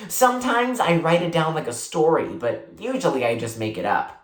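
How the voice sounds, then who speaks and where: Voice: mid-range voice, big pitch swings, normal speed, natural voice. A reader voicing a shy, imaginative sixth-grade girl from a children's storybook, hesitant and earnest. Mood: defensive, earnest. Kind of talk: children's storybook character read aloud. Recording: roomy, echoing sound.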